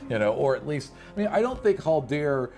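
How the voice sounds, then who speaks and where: A man's voice: fairly high pitch, sing-song, brisk, mellow, faint music behind. A man acting as game master, narrating and explaining in a conversational way, often repeating and rephrasing as he goes. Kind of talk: improvised tabletop game narration and table talk.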